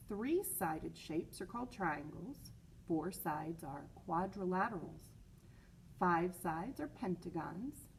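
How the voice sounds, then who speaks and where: A woman's voice: mid-range voice, creaky, slight background noise. A woman reading a children's picture book aloud as a teacher, expressively, stressing words and adding chatty asides. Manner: expressive, with emphatic repeated words.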